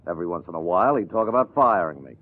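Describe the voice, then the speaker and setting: An actor playing an evasive, self-justifying showbusiness agent in a 1950s radio drama. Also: male voice, low voice, animated, very fast. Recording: tinny old radio recording.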